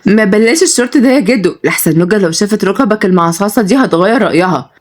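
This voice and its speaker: A woman's voice: a little high, very animated, rapid-fire, slightly rough, pitched above the performer's own voice. A woman voicing a cheerful, teasing young Egyptian granddaughter in a story, playful and affectionate.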